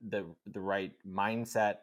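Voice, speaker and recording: Deep-voiced man, relaxed and reflective. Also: slow. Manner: relaxed, reflective, fills with "like" and "right?". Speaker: podcast co-host. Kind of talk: casual podcast conversation.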